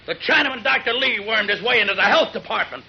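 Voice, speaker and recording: An actor playing a hateful middle-aged gang leader, ranting in venomous, gravelly oratory in the style of 1940s radio drama. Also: male voice, sits high, animated, quick pace. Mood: bitter, incensed. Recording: dull lo-fi 1940s radio recording.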